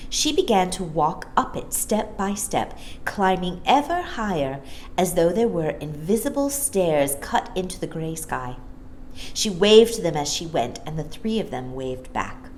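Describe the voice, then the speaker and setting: Female storyteller for children's book: animated, lowish voice, expressive reading, slight background noise. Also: unhurried.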